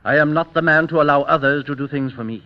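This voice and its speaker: An actor playing a proud warrior emperor of Spain with a secret terror, in a theatrical, impassioned old radio drama style. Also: male voice, a little high, fast, natural voice. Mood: grave, boastful. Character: brave emperor of Spain secretly terrified of mice. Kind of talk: 1930s-40s radio drama acting. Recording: dull, lo-fi old radio broadcast recording.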